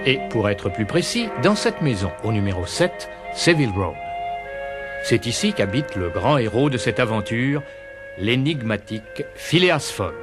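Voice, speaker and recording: A man acts as the storyteller of an adventure cartoon. His scripted narration is grand and intriguing, with animated pitch. Music is clearly heard behind him. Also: moderate pace, natural voice. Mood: storytelling.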